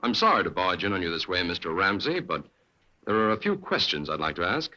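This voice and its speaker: An actor playing a calm, polite detective who asks probing questions in an old radio drama. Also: male voice, low voice, a little fast, heavily acted. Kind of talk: 1940s radio acting.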